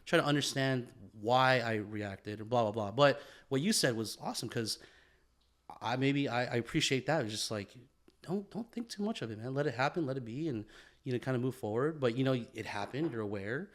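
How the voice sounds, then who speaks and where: A man's voice: medium pitch, expressive, brisk. A man co-hosting a podcast in a casual, reflective way, swearing freely and repeating himself, with "man" and "bro" thrown in. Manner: informal, profane, repeats phrases, peppers talk with "man" and "bro". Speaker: podcast co-host.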